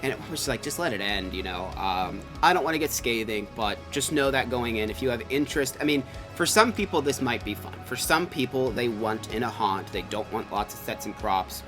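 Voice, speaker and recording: A man's voice: mid-range voice, animated, brisk, hardly any pauses. A man co-hosting a casual, conversational review, rambling through stories with quoted asides. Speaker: co-host of a haunted attraction review. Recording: music under the talk.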